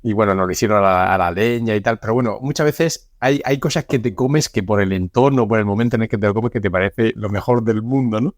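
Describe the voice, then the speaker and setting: A man hosting a casual podcast interview, conversational and quick with short replies. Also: on the low side, no echo, studio quality. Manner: conversational, gives short backchannels. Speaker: podcast host.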